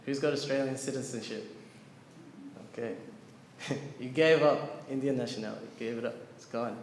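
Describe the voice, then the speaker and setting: A man's voice: mid-range voice, normal speed, slight background noise. A man teaching a devotional scripture class to a live audience, explaining earnestly and putting questions to listeners. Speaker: lecturer on scripture. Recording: echoey hall with long reverb, faint ambience.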